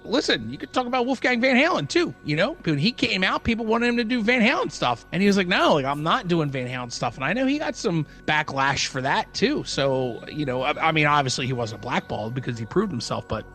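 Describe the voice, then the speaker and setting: A man's voice: a little high, very animated, fairly quick, hardly any pauses, fairly bright tone, soft background music. A man co-hosting a podcast, talking casually and informally in rambling runs of examples. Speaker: podcast co-host. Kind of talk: casual conversational podcast talk.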